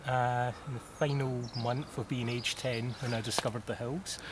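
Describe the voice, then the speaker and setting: A Scottish man in his thirties from southwest Scotland, answering interview questions about mountaineering in an unhurried way, with many "uh" pauses. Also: mid-range voice, steady tone. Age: 30s. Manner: unhurried, with frequent "uh" pauses and occasional laughs. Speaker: mountaineer guest. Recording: clearly audible background noise.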